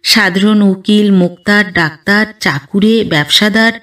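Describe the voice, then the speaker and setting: A woman narrating a literary story as an audiobook reader, in an expressive, dramatic manner with rhetorical questioning. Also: medium pitch, a little slow, far from the mic. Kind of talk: literary story narration in formal Bengali prose.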